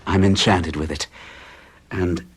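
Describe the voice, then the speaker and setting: A troubled young man telling his story in a lowish, earnest voice. He hesitates as he recounts his growing unease. The delivery is classic radio drama. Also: steady tone, slow pace, slightly rough, exaggerated voice. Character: troubled young American man, hero in love and afraid. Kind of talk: 1970s radio drama acting.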